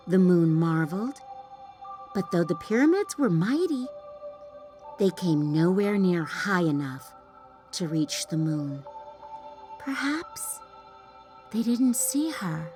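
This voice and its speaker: A woman's voice: lowish voice, big pitch swings, slow pace, long pauses, darkish tone, faint music behind. A woman reading a children's storybook aloud as a storyteller, with dramatic emphasis for young listeners.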